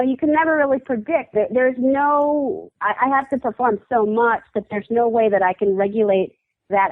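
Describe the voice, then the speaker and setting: Woman comic guest, chatty and halting, narrow phone-line sound, dry. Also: mid-range voice, no room sound. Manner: chatty, halting with many fillers and restarts, laughs often. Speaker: comedian guest. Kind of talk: casual interview chat.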